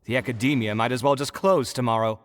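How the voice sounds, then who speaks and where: A man's voice: mid-range voice, fast, noisy background, close to an everyday voice. An actor playing a proud, easily riled young male architect in a video game, theatrical and exasperated. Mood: indignant.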